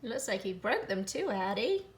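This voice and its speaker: A woman's voice: mid-range voice, sing-song, normal speed. A woman co-hosting a children's storytime, commenting on picture book pages and exclaiming to young listeners.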